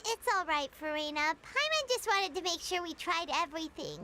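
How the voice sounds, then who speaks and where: A woman voicing a small childlike fairy companion in a video game, earnest, caring and expressive, with a squeaky voice. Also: moderate pace, noisy background. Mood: sympathetic, let down. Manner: expressive, refers to herself in third person. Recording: music behind the voice.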